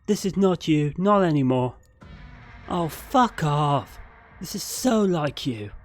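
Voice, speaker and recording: Man voicing an angry, high-pitched young woman, loud. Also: dry recording. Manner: heated, emphatic, swearing. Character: angry, hurt young ex-girlfriend lashing out.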